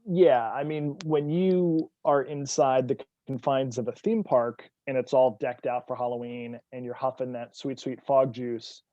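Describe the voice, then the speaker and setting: Relaxed wry man, dark muffled voice. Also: mid-range voice, a little slow, few pauses, no echo. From New England. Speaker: podcast guest, author. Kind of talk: casual conversation.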